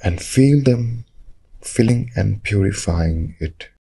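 Guy doing guided meditation, really breathy rough voice, super slow n calm w long pauses. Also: fairly low pitch. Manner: calm, measured, with short phrases and long pauses.

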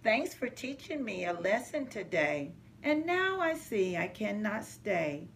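A middle-aged woman reading a children's picture book aloud in a measured, sing-song rhyming way. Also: medium pitch, very animated. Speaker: early childhood center manager reading to children. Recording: noticeable background noise, home recording.

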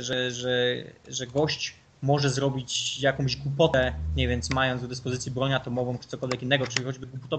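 A man's voice: mid-range voice, a bit bright, slight background noise. A man chatting as a guest on a podcast, giving long, rambling, opinionated explanations. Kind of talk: casual chat.

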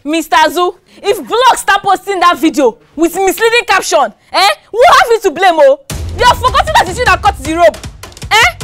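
Woman as a reasonable neighbor; high, coaxing, soft music. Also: sing-song, moderate pace, exaggerated voice.

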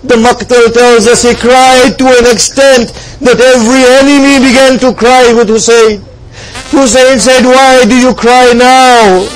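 A man's voice: very high voice, fairly even intonation, unhurried, rough recording. A man preaching a mournful devotional narrative in a solemn, impassioned, dramatic way, with plaintive cries. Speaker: preacher reciting a religious lament. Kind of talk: mournful devotional sermon storytelling.

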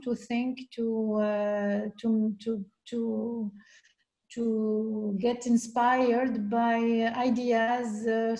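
A middle-aged woman, a guest artist speaking haltingly on a video call from her home, with many restarts. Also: on the high side, darkish tone, low-quality recording. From Algeria. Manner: hesitant, many restarts and fillers. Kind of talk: video call panel talk. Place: home room.

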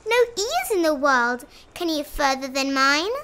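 A woman's voice: high-pitched, big pitch swings, unhurried, sharp tone, a little hiss or hum, voice pushed up, exaggerated voice. A woman voicing a boastful, self-important male rabbit from a children's storybook, in an emphatic bragging manner. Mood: proud, boastful. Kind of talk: animated children's storybook character reading.

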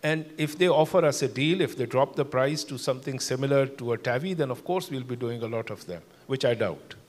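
An older man, a cardiologist on a conference panel, explaining cases in a measured way with many fillers. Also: medium pitch, a little hiss or hum. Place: large conference hall. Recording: long hall reverb.

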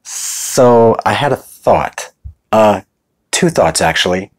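A man's voice: fairly low pitch, lively intonation, slow, poor audio. A middle-aged American man talking informally and conversationally in a casual show-and-tell vlog. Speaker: video host.

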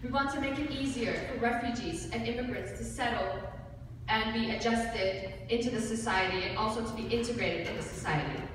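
Young woman giving formal student talk, highish voice, recorded way back in echoey hall. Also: fairly quick. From Iceland, originally Kurdistan. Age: young adult. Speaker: student presenter. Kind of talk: formal rehearsed presentation of facts. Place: large hall with seated audience. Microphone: far audience camera. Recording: echoey hall from far off, room noise.

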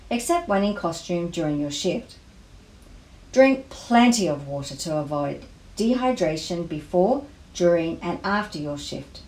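A woman reading volunteer safety rules aloud, item by item, in a measured way. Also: lowish voice, a little mellow. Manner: measured, list-by-list reading delivery. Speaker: volunteer safety induction presenter. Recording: roomy, echoey voice-over.

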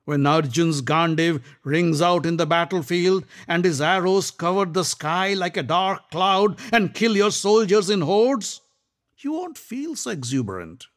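A man's voice: high-pitched, expressive, a little slow, a bit bright, pitched above the performer's own voice. A man voicing a scornful older king who mocks a warrior. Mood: mocking. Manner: taunting, sneering. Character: mocking older male king, scornful and needling.

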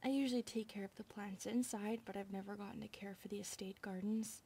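A woman voicing a timid, dutiful centipede maid in an ASMR roleplay, polite, soft-spoken and hesitant, often slipping into breathy whispers. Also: mid-range voice, steady tone, fairly quick, slight background noise, natural voice. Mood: shy, touched.

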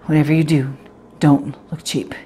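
A low-pitched, anxious young professional woman, played by a woman, confides directly in the audience. She is fretful and self-critical, circling back over her words in a confessional theatre monologue. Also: little pitch movement, moderate pace, dark tone, slightly rough. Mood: anxious, self-loathing. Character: anxious, self-critical 28-year-old professional woman, obsessive about appearance. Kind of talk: dramatic monologue in direct address.

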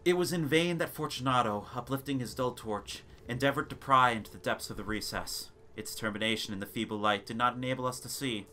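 A man reading a gothic tale as a theatrical, deliberate dramatic narration. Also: medium pitch, pushed and bright. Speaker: narrator of a gothic short story. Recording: noisy ambience sound bed. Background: background ambience and sound effects.

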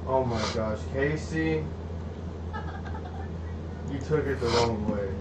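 A man's voice: medium pitch, slow pace. A man playing a video game with a friend at home, joking and teasing him. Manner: teasing, talks over friend. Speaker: gamer. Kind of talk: casual gaming chat. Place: room at home. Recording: roomy, distant, muffled with clear noise.

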